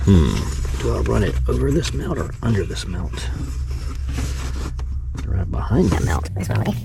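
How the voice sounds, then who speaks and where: A man's voice: low voice, big pitch swings, unhurried. A man giving a casual, folksy how-to while working inside a vehicle, thinking aloud as he goes. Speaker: vlogger demonstrating a car radio install. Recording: clear background noise in a vehicle.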